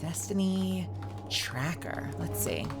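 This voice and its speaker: A woman's voice: on the low side, slow pace, over loud music. A young woman streaming games live, chatting playfully with her chat in a slightly scratchy voice.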